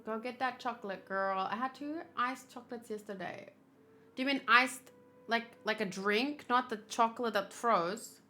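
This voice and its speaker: A woman chatting casually on a gaming livestream, thinking aloud in an expressive, chatty way. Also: on the high side, moderate pace. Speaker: video game streamer. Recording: clear background noise.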